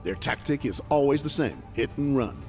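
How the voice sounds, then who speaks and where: An actor playing a brisk, earnest military security commander in video game cutscene dialogue. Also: male voice, medium pitch, animated, darkish tone, faint music behind, lightly acted. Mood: serious briefing. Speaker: security chief officer.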